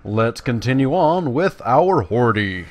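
A man's voice: mid-range voice, big pitch swings, slow pace, darkish tone, faint music behind. Man doing casual gameplay commentary. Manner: self-deprecating, addresses viewers directly. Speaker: gaming YouTuber, host. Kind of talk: casual let's-play game commentary. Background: video game sound.

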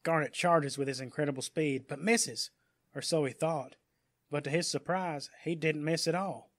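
A man's voice: fairly high pitch, moderate pace, dry recording. A man reading scripted story narration in a dramatic audiobook style.

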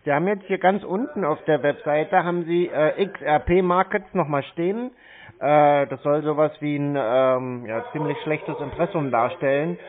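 A man's voice: a little high, moderate pace. A man in a casual phone conversation, talking as a customer over a tinny line. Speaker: scam-baiting show host posing as a customer on the phone.

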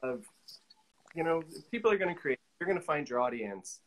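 A man's voice: a little high, sing-song, a little fast, fairly bright tone, rough recording. A man in his forties chatting as an interview guest on a video call, thinking aloud in a tentative, informal way. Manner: tentative, thinking aloud, hedging with "like" and "you know". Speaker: guest artist. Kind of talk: casual interview chat. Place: indoor room on a video call.

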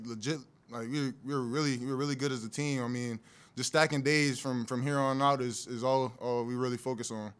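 A young man in his twenties, a college basketball player, answering haltingly with many restarts at a post-game press conference, seated at a table mic. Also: medium pitch, smooth. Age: 20s. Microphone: desk mic on a stand.

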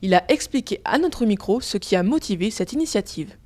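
A woman's voice: mid-range voice, expressive, fairly quick, darkish tone. A woman news reporter reading a scripted narration for a news report.

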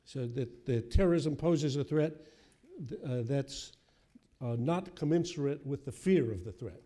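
A man's voice: mid-range voice, animated, a little slow, dark tone. A man in his seventies, moderating a public forum as he reads aloud and poses questions. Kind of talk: reading a quotation and posing a question at a forum. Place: auditorium stage at a podium. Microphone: podium microphone.

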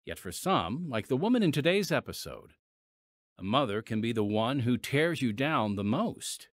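A man's voice: mid-range voice, very animated, normal speed, dry recording. A man hosting and narrating a scripted audio drama with a polished, dramatic presenter delivery. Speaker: host and announcer.

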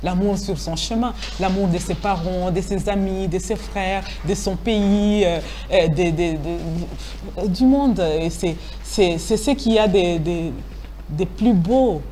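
A woman's voice: fairly low pitch, takes it slowly, warm tone, slight background noise. A woman in her forties, a writer answering interview questions in a TV studio, talking hesitantly with many fillers and restarts and laughing easily. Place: TV studio set.

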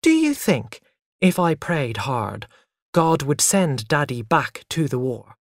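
A man voicing an eager, demanding small boy in a story, loud, insistent and pleading. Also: on the high side, huge pitch swings, slow, no room sound, clean studio sound, full character voice. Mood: innocent, pleading.

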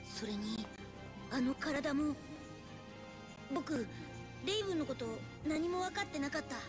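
An earnest young boy adventurer talks fast with a high, animated voice. Faint game music sits underneath. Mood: shaken, remorseful.

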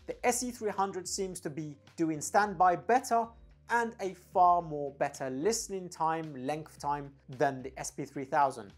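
Low-pitched, husky woman reviewing audio gear. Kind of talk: casual product review talk.

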